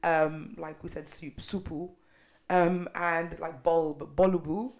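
Young Ugandan-raised woman, low relaxed voice. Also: slow. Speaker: podcast co-host. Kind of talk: casual chat.

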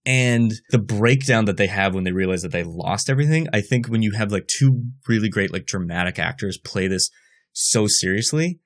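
A man's voice: lowish voice, moderate pace. Laid-back man on a movie podcast. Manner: relaxed, laughs often, many fillers. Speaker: podcast co-host. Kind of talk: casual chat.

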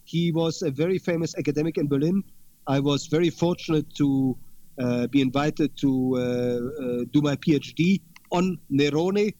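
A man with a fairly high voice speaks earnestly as an interview guest, circling back and repeating his key words. His audio is dry and a bit muffled. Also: moderate pace, warm tone, no echo. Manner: earnest, repeats himself for emphasis. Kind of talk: interview conversation.

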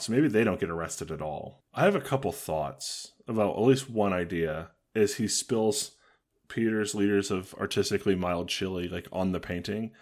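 A man co-hosting a podcast in casual comedic chat, dry and joking, with a deep voice. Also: darkish tone. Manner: dry, joking, riffs on bad movies. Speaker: podcast co-host.

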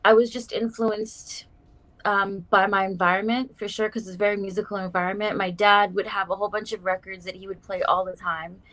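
A woman's voice: mid-range voice, level tone, brisk, a bit bright, low-quality recording. A young woman from South Africa, now, chatting as a guest on a casual podcast and using lots of "like" and "um". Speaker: guest artist. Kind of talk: casual interview chat.

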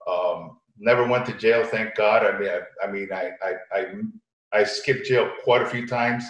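A middle-aged man from Connecticut telling personal stories casually as a podcast guest, haltingly, often repeating words. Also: on the low side. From Connecticut, United States. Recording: echoey room, dull lo-fi sound.

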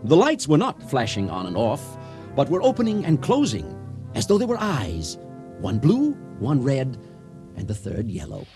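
A man's voice: mid-range voice, wildly swooping pitch, moderate pace, music in the background. A man acting as a theatrical, showman-like narrator of a science fiction radio drama. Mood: narrating, dramatic. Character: folksy, theatrical storyteller presenting a space tale.

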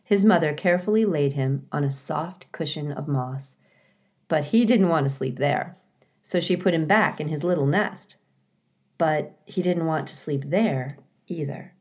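A woman reading a children's book aloud as scripted narration, in a measured, expressive storytelling way. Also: low-pitched. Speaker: storybook reader.

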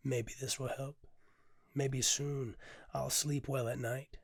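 A man with a hoarse, raspy voice hosts his own poetry podcast, reading and then talking openly with frequent ums and casual swearing. His pitch sits in an ordinary middle range. The sound is dry and close, with no room around it. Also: little pitch movement, takes it slowly, dry recording. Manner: candid, rambling, swears casually, many "um"s. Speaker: podcast host and poet.

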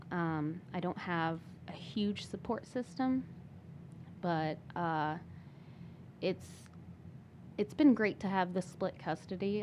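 Low-voiced young woman chatting casually. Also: a little mellow, a little hiss or hum. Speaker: podcast interview guest. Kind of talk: casual personal chat.

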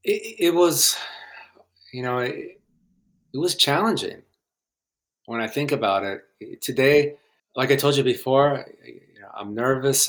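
A young man from Guatemala, a filmmaker guest on a podcast, talking in a halting, hesitant way with many restarts. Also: medium pitch, a bit bright. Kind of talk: casual podcast interview.

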